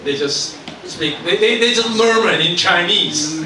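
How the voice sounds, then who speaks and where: A man's voice: sits high, measured pace, crowd noise. A man with a Taiwanese accent leading a teacher training workshop, asking many questions and often restarting his sentences. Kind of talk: leading a group discussion. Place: school meeting room. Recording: echoey room from far off, noisy.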